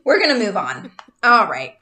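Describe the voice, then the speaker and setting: A woman's voice: mid-range voice, big pitch swings, moderate pace, pushed and bright. A woman in her thirties co-hosting a podcast, telling a true crime story in a casual, chatty way, laughing often and stumbling over names.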